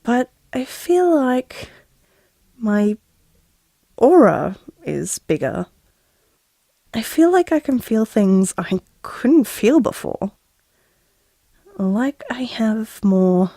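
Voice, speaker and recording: Audio drama expectant mother, tender and wry, unhurried, lively pitch. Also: no echo, heavily acted. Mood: wondering, wry. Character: pregnant woman, tender, wry, talking to unborn baby. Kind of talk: intimate audio drama monologue.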